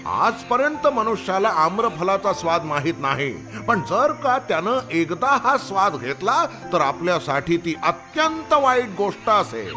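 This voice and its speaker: A man's voice: high-pitched, big pitch swings, measured pace, hardly any pauses, over music. A man voicing a noble, protective monkey king in a children's cartoon story, earnest, commanding and dramatic. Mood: grave, cautioning.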